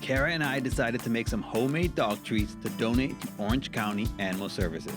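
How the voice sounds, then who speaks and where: A man's voice: medium pitch, brisk, loud music behind the voice. A man narrating a scripted TV news feature as a presenter.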